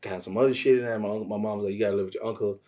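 A man from Trinidad speaks in a low, very mellow voice, sitting somewhat back from the microphone. He rambles through casual interview answers with slang and loose swearing. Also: steady tone, very fast, far from the mic. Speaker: podcast guest, music producer and songwriter.

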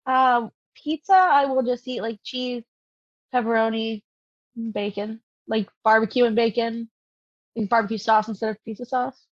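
Higher-voiced woman, blunt casual podcast co-host, dry and slightly muffled recording. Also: fairly even intonation, moderate pace, dry recording. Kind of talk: casual chat.

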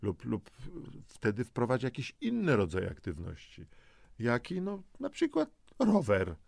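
A man's voice: mid-range voice, huge pitch swings, unhurried, creaky, a little mellow, no room sound, clean studio sound. A man giving semi-scripted motivational narration, coaxing and instructing the listener with rhetorical questions. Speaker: host of a health and lifestyle audio programme.

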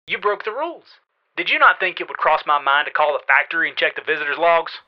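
A woman's voice: sits low, lively intonation, quick pace. A woman playing a callous, crude aunt and guardian, blunt and scornful, heard through a phone filter in an audiobook. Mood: accusing, scornful. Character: callous, crude aunt guardian berating her teenage nephew. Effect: phone-call filter. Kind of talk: audiobook character dialogue. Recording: dull phone-filtered voice, music behind.

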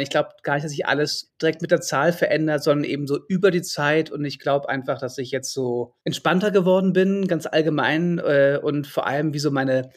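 A man in his forties, from Hamburg, talks as a podcast guest. His voice sits a little high for a man. He thinks aloud, pausing often and hedging his words with fillers. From Hamburg, Germany. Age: 40s. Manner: reflective and hesitant, many fillers. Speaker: guest author. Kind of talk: conversational podcast interview.

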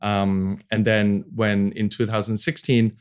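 Low-pitched man in his forties from Hong Kong. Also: flat delivery, a little slow, clear, resonant tone. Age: 40s. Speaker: podcast guest, venture capital founder. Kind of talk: casual interview talk about own career.

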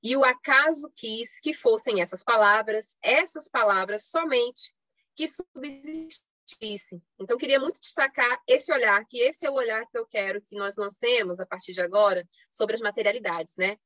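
A woman's voice: a little high, moderate pace, bright, forceful tone, dry recording. Brazilian woman doing an online academic talk, explains stuff with rhetorical questions, dry slightly muffled sound. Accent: Brazilian Portuguese. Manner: explanatory, punctuated with rhetorical questions and frequent tag checks. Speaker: academic panelist giving a presentation. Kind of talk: academic online lecture with slides, semi-improvised. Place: online live stream. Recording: slightly dull lo-fi online call audio.

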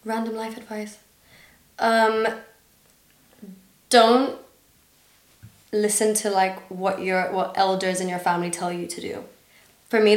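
Young woman, international school accent, casual giggly podcast guest, roomy sound. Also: medium pitch. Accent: international school, partly American. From Dubai. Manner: chatty, giggly, trailing fillers. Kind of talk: casual chat. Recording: echoey room.

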